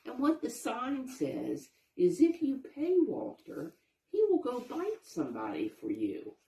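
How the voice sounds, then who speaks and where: A woman reading a children's picture book aloud, pausing to explain things and ask questions for young listeners. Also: on the high side, huge pitch swings, vocal fry, mellow. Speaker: storybook reader, teacher-like narrator. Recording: roomy, echoey sound.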